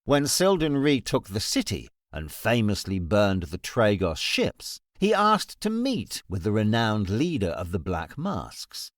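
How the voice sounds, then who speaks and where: Cold all-knowing ruler for fantasy audiobook , male actor: cutting, deliberate, formal, widely varied intonation, close dry sound. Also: lowish voice, wildly swooping pitch, no echo. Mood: coldly accusing. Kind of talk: full-cast fantasy audiobook acting.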